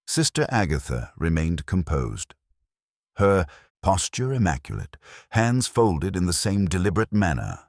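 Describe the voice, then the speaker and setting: A man narrating a scripted mystery story in a measured, formal manner, as in an audiobook. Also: low voice, very animated, darkish tone, no room sound, studio quality.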